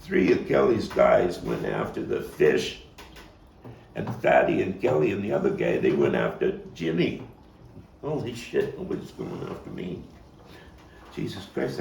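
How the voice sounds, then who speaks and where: A man performing a dramatic stage monologue as a vengeful young gang member, profane and intense, halting and repeating his words. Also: low-pitched, vocal fry, a little mellow, full character voice. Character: volatile, vengeful male street gang youth recounting a bloody fight. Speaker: actor and playwright performing his own monologue. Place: echoey room, table read. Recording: echoey, noisy room, distant mic.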